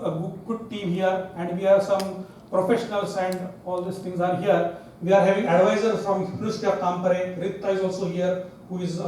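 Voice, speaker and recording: A man's voice: high voice, darkish tone, a little hiss or hum. A middle-aged man, the organizer, opening a symposium in a large lecture hall, speaking in a hesitant way and repeating words. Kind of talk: welcome speech at a conference. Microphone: far camera. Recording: echoey lecture hall from far off.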